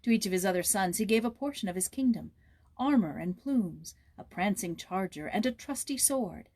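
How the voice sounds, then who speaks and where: A woman narrating a children's story aloud in a measured, expressive storytelling manner. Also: medium pitch. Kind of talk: storybook read-aloud with informal introduction.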